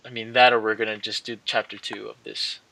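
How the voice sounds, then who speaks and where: A man's voice: fairly low pitch, level tone, a little fast, gravelly, sharp tone, no echo, rough recording. A young man hosting a gaming channel, chatting casually in a rambling, hesitant way that often repeats and trails off. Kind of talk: casual rambling video outro.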